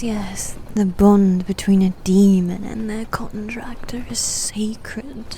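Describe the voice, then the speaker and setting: A haughty female demon knight, acted by a woman in an intimate ASMR roleplay, half-whispering slowly in a soft, dark tone. She is guarded and teasing, secretly fond, with halting phrases over noticeable background noise. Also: medium pitch. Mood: aloof, guarded. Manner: hushed, breathy, haughty, halting.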